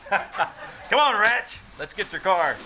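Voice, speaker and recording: A man's voice: sits high, lively intonation, slow pace, full character voice. A man playing a carefree, wisecracking troublemaker sidekick in a 1940s-style radio sitcom, breezy and slangy. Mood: scheming. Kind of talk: 1940s radio sitcom acting, live stage re-creation. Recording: noisy live theater stage.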